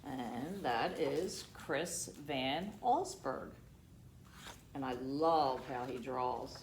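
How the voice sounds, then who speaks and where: Low-pitched, animated woman reading to children. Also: drawn-out words. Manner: dramatic, hushed to emphatic storytelling. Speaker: library storytime host. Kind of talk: expressive children's read-aloud with asides to young listeners. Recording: roomy echo with faint background noise.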